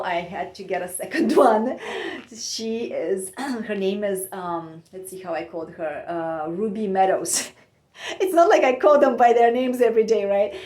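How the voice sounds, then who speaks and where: Soft-spoken woman, roomy echo. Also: medium pitch. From Bulgaria. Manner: soft-spoken, meandering, many pauses and fillers. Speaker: podcast host. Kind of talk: reflective personal monologue. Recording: echoey room.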